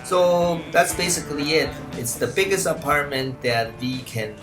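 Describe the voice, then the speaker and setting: A man giving an unscripted video game walkthrough as a voice-over, with a halting, hesitant delivery. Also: on the high side, over music.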